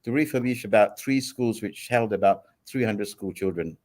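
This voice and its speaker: A man in his forties from Singapore, speaking as a guest entrepreneur in an interview. He tells his story in a relaxed, steady way, with frequent ums and self-corrections. His voice sits fairly low. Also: normal speed.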